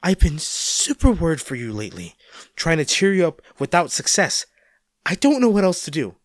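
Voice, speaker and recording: A man's voice: a little high, very animated, normal speed, no room sound, studio quality. A man acting a caring, worried boyfriend in an intimate audio roleplay, speaking tenderly and coaxingly to his injured partner. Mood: hurt, frustrated. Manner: tender, coaxing, hesitant, speaking directly to the listener.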